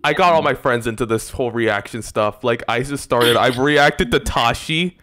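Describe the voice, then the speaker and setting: A man's voice: medium pitch, normal speed, fairly bright tone. A young man hosting a casual reaction stream, talking in a rambling way full of "like", at a desk microphone in a small room. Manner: rambling, filler-heavy, many likes. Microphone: large desk microphone with headset.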